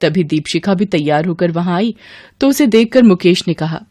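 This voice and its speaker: A woman's voice: fairly low pitch, expressive, normal speed, warm tone. A woman narrator reading a scripted storybook tale.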